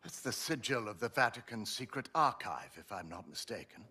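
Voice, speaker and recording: Older British gentleman scholar, highly animated pitch, bright tone, fussy, genteel, concerned, game drama. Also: normal speed, slightly rough, exaggerated voice. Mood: concerned, curious. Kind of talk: cinematic action-adventure game acting.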